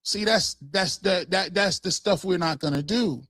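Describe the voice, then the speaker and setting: A man with a notably high voice debates in a heated back-and-forth, firing off pointed questions one after another. His voice sounds close and dry, with no room around it. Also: fairly bright tone, dry recording. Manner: argumentative, fires rapid pointed questions. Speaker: co-host.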